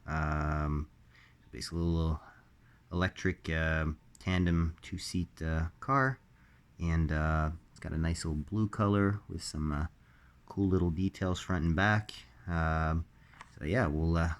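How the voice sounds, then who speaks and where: A man with a slightly raspy voice while recovering from illness, hosting a casual unboxing, halting with many ums and uhs. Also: sits low. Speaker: diecast collector host.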